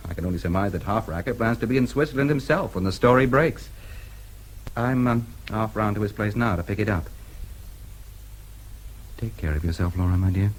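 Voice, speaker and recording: A man's voice: on the low side, brisk, long pauses, a little hiss or hum, lightly acted, low-quality recording. An actor playing a cool, daring American newspaperman in a radio drama, with an American accent and a laconic, matter-of-fact way of talking. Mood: casual, confident.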